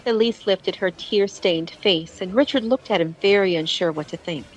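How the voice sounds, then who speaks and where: A woman's voice: mid-range voice, normal speed, poor audio. A woman reading scripted narration as the storyteller of an audio drama.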